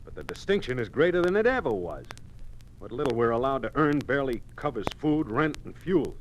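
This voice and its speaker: An actor playing an embittered middle-aged oil company boss with an American accent, in 1950s radio drama style. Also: male voice, mid-range voice, very animated, brisk. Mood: bitter. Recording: noisy old 1950s radio recording.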